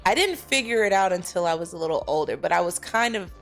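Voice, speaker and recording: A woman's voice: fairly low pitch, lively intonation, fast, soft background music. Young American woman chatting as a guest. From Tennessee, United States. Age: young adult. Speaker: actress, interview guest. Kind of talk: casual interview chat.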